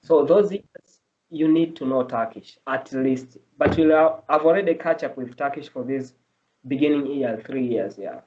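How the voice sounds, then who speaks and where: A man's voice: mid-range voice, normal speed, a little mellow, a little hiss or hum. A man answering interview questions in English, his second language, earnest and repeating himself as he explains. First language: not English. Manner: earnest, repeats and restarts phrases. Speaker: medical student guest. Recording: dull lo-fi audio.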